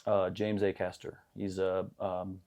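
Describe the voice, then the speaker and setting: A man's voice: deep voice, monotone, measured pace, darkish tone. A young man answering interview questions in a casual, hesitant way, with many fillers and restarts. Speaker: gym member being interviewed.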